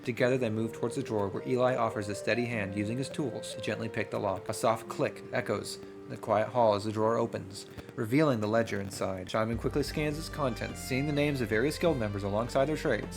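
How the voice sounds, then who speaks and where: A man playing and narrating a role-playing game, reading story passages aloud and thinking out loud in an excitable way. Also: mid-range voice, gravelly. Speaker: solo role-playing game player and streamer. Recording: music playing behind the voice. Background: music.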